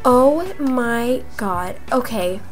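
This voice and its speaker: A young woman acting out improvised roleplay dialogue for a video game, in an animated manner with drawn-out words. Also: on the high side. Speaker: gaming video creator voicing roleplay characters. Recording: music behind the voice. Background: music.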